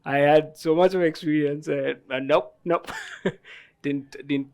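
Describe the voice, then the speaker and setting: A man's voice: a little high, steady tone, takes it slowly, noisy background. A man in his thirties from Sri Lanka, a guest at a tea festival panel, telling his story with lots of fillers and the odd laugh. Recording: live festival stage talk.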